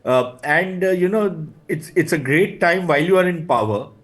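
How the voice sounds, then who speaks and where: A man's voice: fairly high pitch, normal speed, a bit bright. A man with an Indian accent speaking as a guest analyst, conversational and wry, in stop-start phrases. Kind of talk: conversational political commentary.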